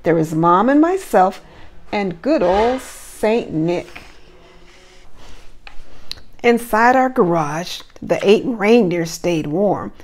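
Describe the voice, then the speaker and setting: A woman preschool teacher doing an animated read-aloud for young children, with simple encouraging questions. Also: medium pitch, slow, pauses often.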